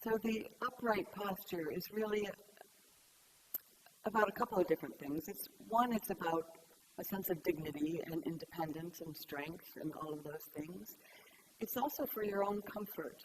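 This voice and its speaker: Woman guiding meditation, hissy recording. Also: on the low side. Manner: gentle, unhurried instruction with long pauses. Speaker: meditation teacher. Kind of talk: guided meditation. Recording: clear background hiss.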